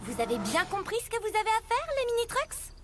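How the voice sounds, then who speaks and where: An enthusiastic artist truck in a children's cartoon. She instructs in a high, very lively voice full of exclamations, with music playing behind her. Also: fast, exaggerated voice. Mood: instructive, upbeat. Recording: music under the voice.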